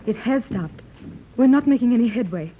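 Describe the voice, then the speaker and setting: A woman's voice: fairly high pitch, lively intonation, normal speed, lightly acted. An actress playing an anxious but determined well-to-do mother in a 1930s radio serial, urgent and questioning in a theatrical way. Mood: apprehensive. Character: anxious, determined wealthy mother searching for her daughter. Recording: muffled, noisy 1930s radio recording.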